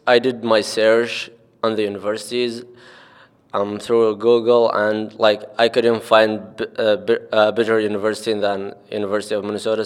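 A young man from Oman, a student answering interview questions haltingly, with many restarts and repeated words. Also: lowish voice, smooth. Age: young adult.

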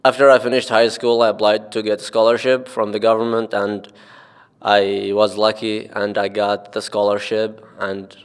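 A young man from Oman, a student answering interview questions haltingly, with many restarts and repeated words. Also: lowish voice, flat delivery, smooth. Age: young adult.

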